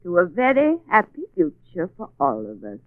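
An actress playing a coldly calculating murderous wife, formal and icily polite, in 1940s radio style. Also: female voice, on the low side, huge pitch swings, no echo. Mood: falsely gracious. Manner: formal, measured, icy politeness. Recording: old phone-like 1940s radio, boxy room.

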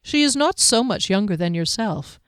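A woman's voice: mid-range voice, expressive, clear, resonant tone, dry recording, lightly acted. Fantasy audiobook role: male band leader, read by a woman, composed, careful speech, dry wit. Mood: careful, reassuring. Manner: careful, measured, dryly amused. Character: wry, composed male leader, measured and formal.

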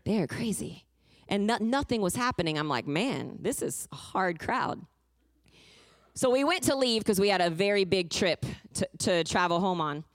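A woman's voice: mid-range voice, animated, normal speed, dry recording, studio quality. A woman preaching a fervent sermon, moving between emphatic declarations and a personal testimony told as a story. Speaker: guest preacher.

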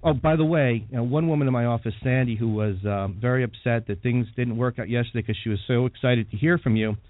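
A man's voice: medium pitch, fast, dry recording. Male radio host, friendly chatter, phone-like thin recording. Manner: chatty, many fillers. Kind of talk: radio interview. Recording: tinny phone-like sound.

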